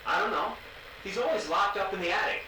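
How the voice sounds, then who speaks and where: Actor doing excitable crude crew dude, high voice, super echoey and far from mic, noisy. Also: male voice, fairly quick, lightly acted. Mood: wary banter. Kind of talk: game voice acting, found-footage banter. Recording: echoey, distant mic, clear background noise.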